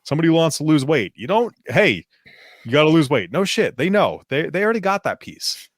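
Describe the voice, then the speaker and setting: A man co-hosting a podcast on coaching, talking casually and emphatically, with lively jumps in his voice. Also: on the high side, brisk, no echo, studio quality. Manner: animated, emphatic, slips into quoted voices. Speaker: podcast co-host, fitness business coach.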